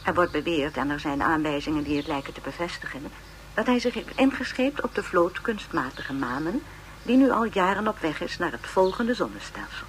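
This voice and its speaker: Actor playing pompous film lecturer, erudite, formal, very animated intonation, faint noise. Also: medium pitch, lightly acted, rough recording. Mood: pompous, lecturing. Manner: measured phrasing, pompous, donnish. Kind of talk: scripted documentary narration in a radio play.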